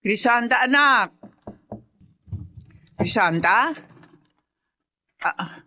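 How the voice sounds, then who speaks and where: A woman's voice: a little high, lively intonation, very slow, pushed and bright, slight background noise, heavily acted. A woman playing an anxious, hesitant middle-aged mother in a radio drama. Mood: alarmed.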